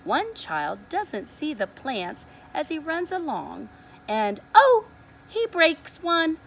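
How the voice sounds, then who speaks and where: A woman reads a picture book to preschoolers in a high, lively, swooping voice. Her pace is unhurried and deliberate, with quieter asides that invite the children to look. There is faint noise behind her. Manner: expressive, deliberate, often pausing to ask the listener to look. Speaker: preschool teacher reading aloud. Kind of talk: picture-book read-aloud to young children.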